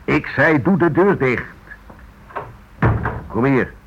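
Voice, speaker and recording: An actor playing a domineering, sarcastic boss of a shady firm in a Dutch radio play, lecturing and bullying. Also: male voice, mid-range voice, lively intonation, slow, background noise. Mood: angry, bossy. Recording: dull lo-fi radio recording.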